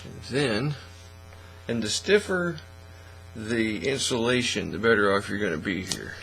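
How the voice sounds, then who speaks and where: A middle-aged man giving a casual, folksy, unhurried how-to demonstration at an indoor hobby bench. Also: fairly low pitch, animated, gravelly, a bit bright, low-quality recording. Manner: folksy, unhurried step-by-step talk. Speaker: hobby craft tutorial host. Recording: faint mains hum and noise.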